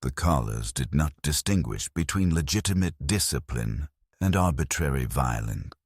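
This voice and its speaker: Very deep, grim man narrating as a war-hardened convict soldier. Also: lively intonation, normal speed, husky, dry recording, clean studio sound, exaggerated voice. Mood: grim, weary. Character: grim, hardened male penal legion soldier recounting horrors. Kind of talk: scripted first-person dark sci-fi story narration.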